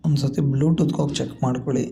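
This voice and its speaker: A man with a Kannada accent walks viewers through a device in a casual, explanatory vlogger style. His voice is very dark and relaxed with a noticeable creaky grain, and he drops English tech terms into his sentences. There is faint noise behind him. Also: mid-range voice, fast talker. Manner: explanatory, mixes English tech words into speech. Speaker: vlogger demonstrating a gadget. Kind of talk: casual step-by-step product walkthrough.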